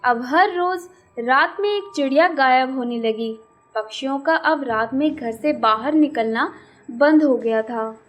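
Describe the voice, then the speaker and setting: A woman's voice: high-pitched, unhurried, dull audio. A woman narrating a scripted children's moral story as the storyteller.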